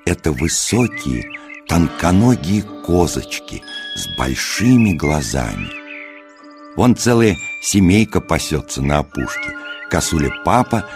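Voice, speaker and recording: A man narrating a scripted children's nature tale as a storyteller, unhurried and expressive, putting questions to the listener. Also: sits low. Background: music.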